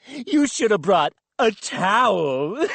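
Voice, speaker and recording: A woman's voice: sits low, noisy background, voice pushed down, full character voice. A woman voicing a wisecracking, gloating male ventriloquist dummy who mocks the listener with jokes and cackles. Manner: jokey, gloating, cackling laughs. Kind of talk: audiobook character voice.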